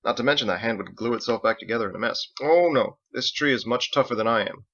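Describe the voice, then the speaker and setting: A man's voice: mid-range voice, fast talker, a bit bright. Confident older sword master, played by a male reader, hearty storybook mentor, lecturing, slightly dull lo-fi recording. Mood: instructive.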